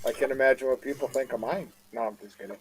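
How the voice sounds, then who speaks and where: A man with a Wisconsin accent in a casual live chat over a video call, talking haltingly and often repeating his words. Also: medium pitch, crowd noise, rough recording.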